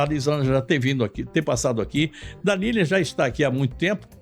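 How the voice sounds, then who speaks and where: A man's voice: a little high, creaky, darkish tone. A man from Bahia hosting a talk show, chatting in a jokey, rambling way. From Bahia, Brazil. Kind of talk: casual talk show chat.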